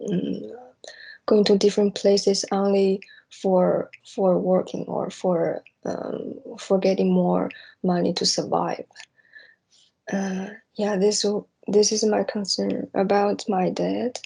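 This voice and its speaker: A young woman from East Asia speaking off the cuff and reflectively, hesitant and halting as she searches for words. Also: mid-range voice, level tone, creaky, warm tone, slightly rough, poor audio. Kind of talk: unscripted spoken reflection on personal hopes.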